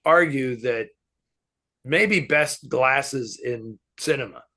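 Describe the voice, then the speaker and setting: Gravelly-voiced man hosting a film chat. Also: mid-range voice, slow pace, bright, forceful tone. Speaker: host answering fan questions. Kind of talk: casual film discussion.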